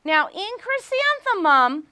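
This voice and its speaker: A woman's voice: very high-pitched, sing-song, slow pace, bright, forceful tone, no echo. A woman teacher reading a storybook aloud to young children, asking simple questions and repeating key words with emphasis. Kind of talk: interactive storybook read-aloud lesson.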